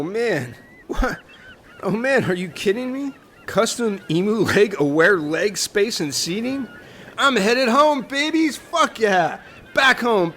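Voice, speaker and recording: An excitable, slangy castaway voiced by a man in a comedy audio play. He is high-pitched and animated, swearing and exclaiming over light background noise. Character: excitable laid-back Californian castaway man with emu legs. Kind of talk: comedy audio drama acting.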